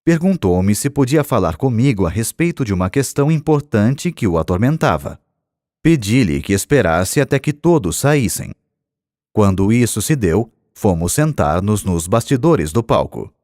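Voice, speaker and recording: A man with a low voice and a Brazilian accent reads scripted audiobook narration. His intonation moves in a lively way, and the delivery stays measured and expressive. The sound is close and dry. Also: no room sound.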